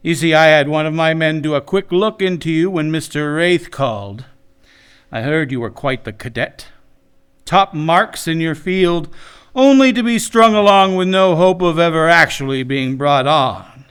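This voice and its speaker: A man's voice: on the high side, normal speed, a bit bright, clean tone, lightly acted. A man playing a smug, menacing crime boss in a noir radio drama, condescending and mock-polite.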